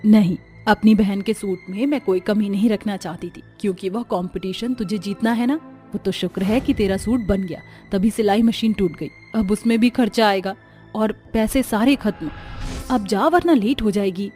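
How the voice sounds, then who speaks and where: A woman's voice: mid-range voice, normal speed, warm tone, soft background music, close to an everyday voice. A woman playing a caring, protective young elder sister, a poor seamstress, in an earnest, soothing storybook manner. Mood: reassuring.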